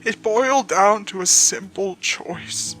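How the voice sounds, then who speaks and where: A woman voicing a weary, cowardly damned soul in a horror story, speaking slowly and haltingly with drawn-out pauses. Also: mid-range voice, fairly bright tone, soft background music, pitched below the performer's own voice. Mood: sad, ashamed. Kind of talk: horror story character acting.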